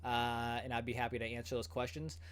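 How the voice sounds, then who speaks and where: A man's voice: mid-range voice, monotone, fairly quick, fairly bright tone, clean tone. Chatty upbeat man on a livestream. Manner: chatty, filler-heavy, upbeat. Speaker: goalie channel host. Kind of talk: casual livestream chat.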